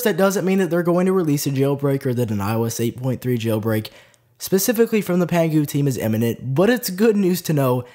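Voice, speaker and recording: A young man with a stuffy nose from a cold, hosting a casual tech news vlog in a chatty way with plenty of fillers. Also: medium pitch. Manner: chatty, lively, lots of "like" fillers. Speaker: tech video host.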